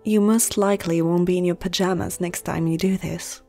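Woman doing a flirty vampire noblewoman for asmr, soft dark voice, teasing n intimate, faint music behind. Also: lowish voice, steady tone, normal speed, lightly acted. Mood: teasing, instructive. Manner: soft, intimate, teasing, often dropping to a whisper. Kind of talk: ASMR roleplay.

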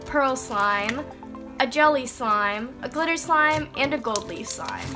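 Young woman, high voice, getting over a cold, bubbly, tutorial host, background music. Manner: bubbly and enthusiastic, explaining step by step. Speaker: slime-making channel host.

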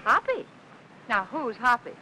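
High-voiced 1950s film housewife. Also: female voice, sing-song, unhurried, a little hiss or hum, heavily acted, low-quality recording. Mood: indulgent, playful. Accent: American. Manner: gentle, hesitant, polite. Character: anxious, devoted young housewife, polite and gentle. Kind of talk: 1950s Hollywood film acting. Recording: old film soundtrack with music.

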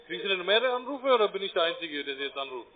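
A man's voice: high-pitched, sing-song, racing, crowd noise. A man working as a call centre agent, chatty and politely probing on a sales call. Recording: tinny narrowband phone line.

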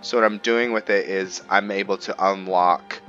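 A man giving casual roleplay game commentary in a musing, halting way. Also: low voice, fairly even intonation, fairly bright tone. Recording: dull lo-fi mic, faint music. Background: faint game music.

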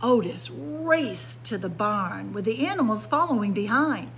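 A woman's voice: on the high side, expressive, unhurried, fairly bright tone, a little hiss or hum. A woman reading a children's picture book aloud like a story-time librarian, expressively, with dramatic emphasis on key words.

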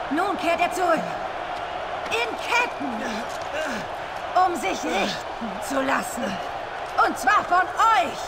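A bombastic video game arena announcer who draws out every phrase theatrically. The shouted voice sits extremely high and echoes through a space full of loud crowd noise. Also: male voice, heavily acted. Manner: theatrical, shouting, drawn-out delivery.

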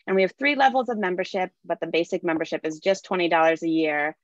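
A woman's voice: fairly low pitch, fast, dry recording. A young woman, a nonprofit's outreach manager, reading a scripted event introduction on a video call. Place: indoor room, video call. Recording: dull lo-fi video call audio.

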